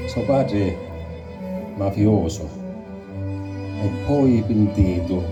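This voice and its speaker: A man's voice: fairly low pitch, animated, very slow, far from the mic, music in the background. A man with a Sicilian accent giving a solemn, declamatory recitation of a dramatic poem. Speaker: poetry reciter.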